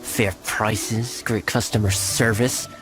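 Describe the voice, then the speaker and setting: A man's voice: fairly low pitch, steady tone, slow pace. A man voicing a slick, glib bandit henchman in an anime game, mock-polite and theatrical. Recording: music behind.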